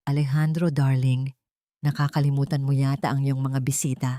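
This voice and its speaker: A female narrator plays a jealous socialite villainess in an audiobook melodrama. She is sharp-tongued and full of disdain. The recording is dry. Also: very deep voice, level tone, moderate pace, no room sound.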